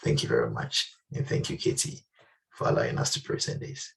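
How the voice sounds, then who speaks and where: A man giving a charity presentation on a video call, speaking hesitantly and filling pauses with ums and you-knows. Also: very deep voice, creaky, fairly bright tone, distant mic. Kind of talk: online presentation.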